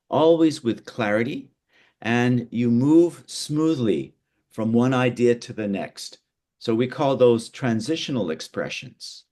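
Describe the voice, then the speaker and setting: Halting middle-aged male workshop teacher. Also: fairly low pitch, darkish tone. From Canada. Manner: halting, many fillers and repeated words. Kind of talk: online workshop lesson. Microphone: video call.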